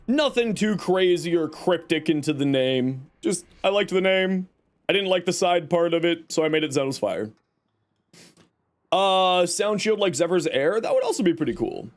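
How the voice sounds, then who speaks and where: Young guy streamer w a cold, scratchy voice, bouncy pitch, lots of uhs while he reads chat. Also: animated, normal speed. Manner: rambling, reads and answers chat with many fillers. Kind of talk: casual game stream chat with viewers.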